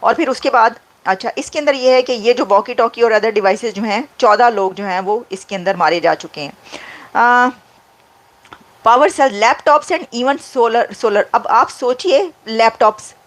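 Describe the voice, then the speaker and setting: A woman giving conversational commentary on the news. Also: a little high.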